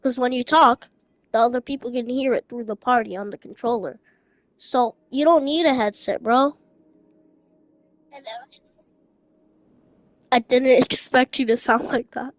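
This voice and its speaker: A woman's voice: on the high side, measured pace, long pauses, dry recording. A young woman live-streaming, chatting casually with other players in a slangy way and repeating phrases insistently, with music behind her.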